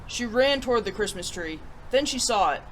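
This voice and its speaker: A woman's voice: medium pitch, animated, moderate pace, fairly bright tone, slight background noise, poor audio. A woman hosting a children's story-time show, reading picture books and reviewing them in an enthusiastic, theatrical presenter's manner. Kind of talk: picture book read-aloud and upbeat review.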